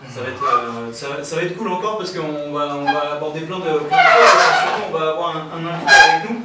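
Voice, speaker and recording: A man hosting a radio show in a casual, halting way, often repeating phrases as he goes. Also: a little high, huge pitch swings. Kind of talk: casual presenting. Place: radio studio. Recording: roomy, distant mic, noisy, clicks.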